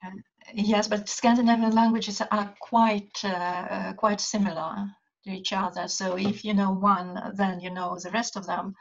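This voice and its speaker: An older woman from Russia, a writer and translator whose first language is Russian, speaking English with a Russian accent in a measured, hesitant way on a video call from her home study. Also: mid-range voice, flat delivery. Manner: measured, thoughtful, many hesitations. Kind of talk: conversation over video call. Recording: dull lo-fi video call audio.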